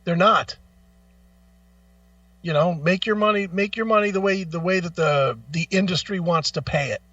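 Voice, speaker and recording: A man with a high, sharp, forceful voice rants like an opinionated radio host. He is blunt, profane and emphatic, hammering on repeated phrases. The sound is slightly muffled. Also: normal speed, no room sound, far from the mic. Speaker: talk show host. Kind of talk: opinionated music talk, ranting.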